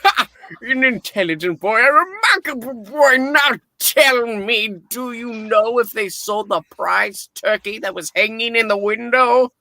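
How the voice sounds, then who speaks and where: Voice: mid-range voice, expressive, a little slow, bright, forceful tone, dry recording. A performer playing a giddy, newly reformed old miser in a radio play reading, effusive and theatrical. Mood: giddy, kindly.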